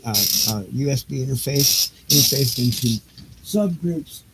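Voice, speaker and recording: A man chatting casually and haltingly, half to himself, as he fiddles with equipment for a live stream. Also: medium pitch, lively intonation. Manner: muttering to himself, distracted, halting. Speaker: audiobook reader and live streamer. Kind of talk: casual rambling tech chat while setting up a live stream. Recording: noisy live home-studio recording with clicks.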